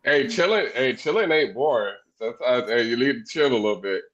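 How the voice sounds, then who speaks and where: A man's voice: medium pitch, lively intonation, fairly quick, a bit bright. A man co-hosting a podcast, asking questions with many fillers and restarts. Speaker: podcast co-host. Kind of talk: casual interview. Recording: dull lo-fi call audio.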